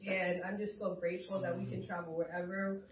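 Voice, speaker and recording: A woman's voice: on the low side, little pitch movement, brisk. Young female minister from Boston, casual church testimony, muffled roomy recording. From Boston, United States. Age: young adult. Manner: casual, conversational. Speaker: campus minister, guest speaker at church. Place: large room at a church gathering. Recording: roomy, dull sound from distant mic.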